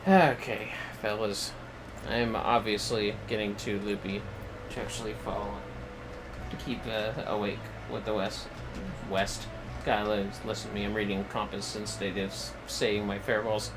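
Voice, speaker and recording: A man hosting a game livestream, chatting casually and haltingly as he muses to himself. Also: lowish voice, flat delivery, low-quality recording. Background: music.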